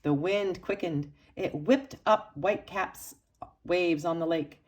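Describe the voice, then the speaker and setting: Woman, slightly low voice, children's storybook read-aloud, expressive phrasing, dramatic pauses. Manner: dramatic emphasis and pauses for young listeners. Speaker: storybook reader for children's church.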